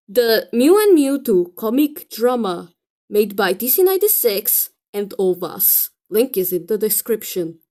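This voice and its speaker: A woman giving opinionated commentary in an exasperated, emphatic manner. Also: sits high. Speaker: video creator. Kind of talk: commentary reacting to a film critique.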